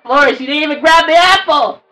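Woman voicing a high-pitched, whiny little cartoon devil. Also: a little fast, bright, forceful tone, far from the mic, soft background music. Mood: indignant. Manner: whiny, petulant, hesitant complaining. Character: short, grumpy, whiny cartoon devil who hates work. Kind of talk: improvised cartoon roleplay.

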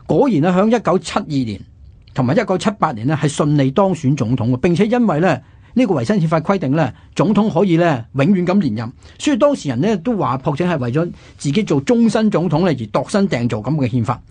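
A man with a Hong Kong Cantonese accent speaks quickly in a slightly high, husky voice with lively swings in pitch. He narrates history like a chatty radio host, explaining colloquially and throwing in questions to himself. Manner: colloquial, explanatory, with exclamations and self-posed questions.

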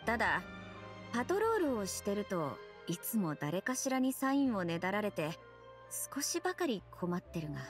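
A woman playing a stern young patrol captain in a game, formal, deadpan and authoritative. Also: mid-range voice, normal speed, music in the background, close to an everyday voice. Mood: dry, wary.

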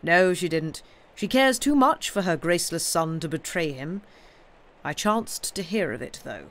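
Man voicing a gruff, stern old uncle. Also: on the high side, lively intonation, a little slow, a bit bright. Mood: stern, reproachful. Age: older. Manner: gruff, blunt, lecturing, old-fashioned phrasing. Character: gruff, stern elderly uncle scolding his wayward nephew.

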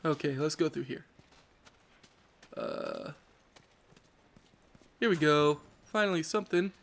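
A man's voice: fairly high pitch, expressive, takes it slowly, creaky, fairly bright tone. A congested young man giving jokey, rambling commentary while playing a video game. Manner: rambling, jokey, self-mocking. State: congested from allergies. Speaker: let's play host. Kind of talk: casual gameplay commentary.

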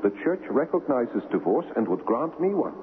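An actor playing an idealistic, lovestruck young prince in a radio drama, earnest, romantic and formal. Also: male voice, mid-range voice, very animated, moderate pace, natural voice. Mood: ardent, grave. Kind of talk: 1970s radio drama acting. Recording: tinny, noisy 1970s radio broadcast.

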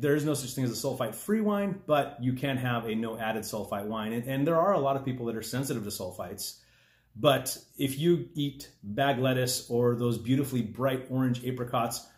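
A man in his forties, a winemaker, explaining wine questions in a friendly casual way, often restarting his sentences, filmed across a table indoors. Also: medium pitch. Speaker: winemaker answering viewer questions. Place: dining room at a table, indoors. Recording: echoey room.

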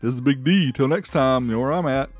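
A man's voice: mid-range voice, brisk, husky, soft background music. A man narrating a casual product review in a conversational, folksy way. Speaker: host of an amplifier review channel.

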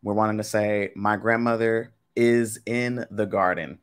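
A low-pitched young man running a live-streamed language quiz from his home setup. He speaks in a loose, chatty way, addressing the chat directly, with a somewhat deep voice. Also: fairly even intonation, unhurried, clean tone. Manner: casual, chatty, talks to chat with y'all. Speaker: Spanish teacher. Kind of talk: live-streamed game lesson.

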